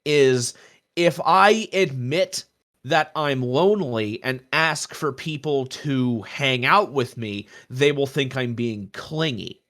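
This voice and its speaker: A man's voice: medium pitch, slow pace, a bit bright. A young man podcast co-host in casual, personal discussion, stressing his points by repeating words.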